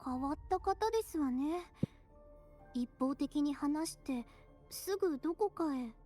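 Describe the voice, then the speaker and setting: A woman's voice: high voice, animated, normal speed, warm tone. An actress playing a refined, composed young noblewoman in a Japanese video game, with elegant, ladylike formality over music.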